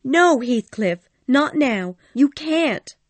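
A woman's voice: high voice, lively intonation, slow, no echo, heavily acted. A woman playing a passionate, dying young woman who pleads desperately in a dramatized audiobook. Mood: frightened pleading.

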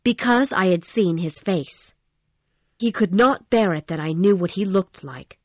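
Storybook figure: a scared young woman singer, anxious and pleading, put on by a male narrator. High voice, dry recording. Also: animated, unhurried, no echo. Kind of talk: storybook reading.

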